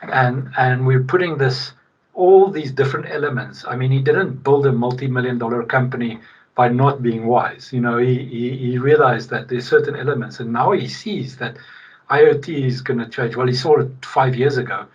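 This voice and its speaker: A middle-aged man from South Africa, a guest in a casual podcast interview, talking in an animated, emphatic way with frequent restarts. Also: medium pitch, moderate pace, slightly rough. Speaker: guest, logistics executive. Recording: distant, dull remote-call sound.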